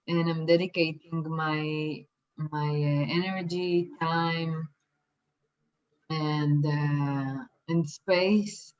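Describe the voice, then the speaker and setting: Slow-spoken woman from Israel, muffled. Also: sits low, fairly even intonation, a little mellow. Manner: hesitant, many fillers and restarts. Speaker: light language teacher. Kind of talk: slow, soft-spoken workshop introduction.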